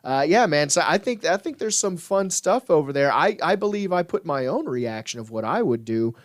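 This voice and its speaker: A man's voice: fairly high pitch, expressive, moderate pace, no room sound, studio quality. A man hosting a sports podcast, joking around playfully with lots of "uh" fillers. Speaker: podcast host. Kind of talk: casual sports podcast chat.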